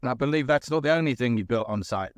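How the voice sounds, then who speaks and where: A man's voice: mid-range voice, animated, fast talker, no room sound. A man asking questions as an interviewer in a casual, conversational style.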